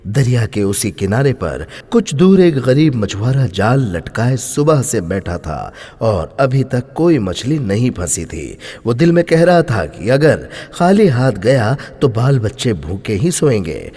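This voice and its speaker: Husky male storyteller in heavy reverb. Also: medium pitch, unhurried, hardly any pauses. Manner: expressive, emphatic storytelling. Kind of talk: dramatic scripted moral story narration. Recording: long hall-like reverb.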